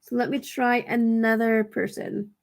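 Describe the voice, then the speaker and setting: A woman with a fairly high voice and some creak in it walks viewers through a task. She sounds raspy, tired and dry-throated. Her delivery is casual and rambling, with thoughts said aloud and corrected as she goes. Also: flat delivery, a little slow. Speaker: vlogger giving reselling tips. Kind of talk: casual screen walkthrough tutorial.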